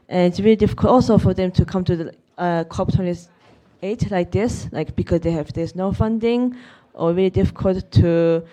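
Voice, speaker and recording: A woman's voice: on the low side, mellow. A woman giving an unscripted keynote at a conference, hesitant, with many fillers and restarts. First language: Japanese. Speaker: keynote speaker, youth representative. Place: conference venue.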